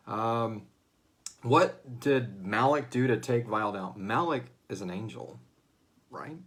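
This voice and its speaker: A man's voice: lowish voice, lively intonation, slow pace, a bit bright. A man hosting a fan Q&A livestream, chatting casually and rambling, with slangy, profane asides and hesitant phrasing. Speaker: host of a serial drama fan Q&A. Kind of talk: casual unscripted livestream chat.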